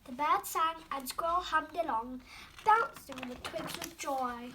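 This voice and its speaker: A young girl hosting storytime, reading a children's book aloud with careful, animated expression. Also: sits high, measured pace, slight background noise.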